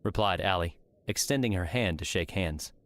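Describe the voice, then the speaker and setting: A man's voice: low voice, animated, normal speed, a bit bright. A man reading scripted story narration as an audiobook narrator.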